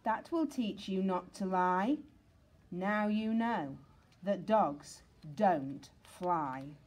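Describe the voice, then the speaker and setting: A woman's voice: medium pitch, lively intonation, drawn-out words, darkish tone. A woman voicing a blunt, mocking schoolboy dog in a storybook, with a challenging, emphatic manner. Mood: scornful.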